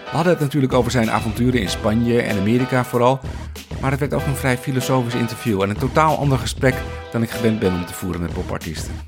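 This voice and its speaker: A man's voice: mid-range voice, quick pace, hardly any pauses. A man hosting a music podcast, speaking in a casual interview style.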